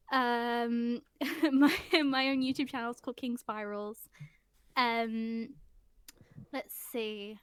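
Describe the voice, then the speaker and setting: Girl voice actor chatting on a panel, really high smooth voice, dry recording. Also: no room sound. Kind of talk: casual self-introduction.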